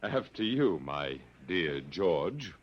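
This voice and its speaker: An actor playing a wry, stubborn, easygoing captive in an old radio drama, with a sardonic, wisecracking delivery. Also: male voice, low-pitched, sing-song, slow, over loud music, full character voice. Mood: defiant, wry. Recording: dull lo-fi old radio recording.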